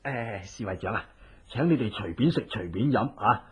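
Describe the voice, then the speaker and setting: An actor playing an obliging, easily flustered cook in a Cantonese radio horror drama, deferential and faltering, with a Hong Kong accent. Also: male voice, fairly low pitch, animated, fairly quick, close to an everyday voice.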